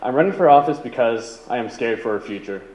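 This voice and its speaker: A man's voice: mid-range voice, animated, fast, a little mellow, dull audio. Earnest man speaking in an echoey hall. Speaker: political candidate, Green Party. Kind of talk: debate opening statement. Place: indoor community debate venue. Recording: echoey hall with faint noise.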